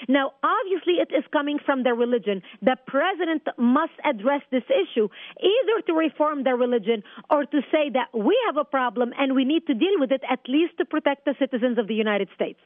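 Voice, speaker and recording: High-voiced woman on a tinny phone line. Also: lively intonation, fairly quick, no room sound. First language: Arabic. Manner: insistent, forceful argument. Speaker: guest. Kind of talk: phone-in interview.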